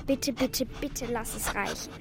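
A woman's voice: a little high, creaky. A woman playing a resourceful, anxious girl in a children's radio drama, urgent and pleading. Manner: urgent, pleading, quick-witted. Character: resourceful, anxious girl devoted to her dog. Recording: music behind the voice.

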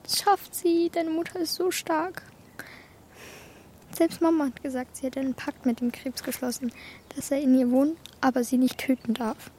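A woman plays a blunt, bold girl in a children's radio drama. Her voice is very high and her talk is slangy, with grief under it. Faint background ambience sits behind her. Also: fairly even intonation, normal speed, a little mellow, slight background noise, lightly acted. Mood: bitter grief. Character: Martha, blunt, bold, grieving young girl. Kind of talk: children's radio play acting.

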